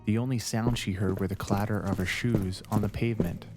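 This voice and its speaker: Lowish male scary-story narrator over music. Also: steady tone. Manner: measured, short deliberate phrases. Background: background music.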